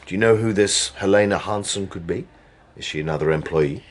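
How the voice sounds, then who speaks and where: An actor playing a polite, low-key detective inspector in a radio drama, tentative and apologetic but persistent. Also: male voice, a little fast. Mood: gently probing.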